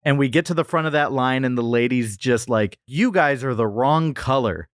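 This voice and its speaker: A man with a mid-pitched voice hosts a casual comedy podcast. He tells stories conversationally and jokes as he goes. The sound is close and dry, with very little room in it. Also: normal speed, no room sound. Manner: conversational storytelling, joking. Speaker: podcast host.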